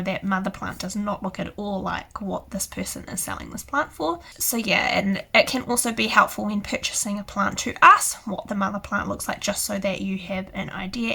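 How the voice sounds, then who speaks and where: Hoarse, croaky New woman. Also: mid-range voice, bright, forceful tone. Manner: chatty and rambling, many "so yeah" fillers. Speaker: houseplant channel host. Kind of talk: casual tutorial to camera.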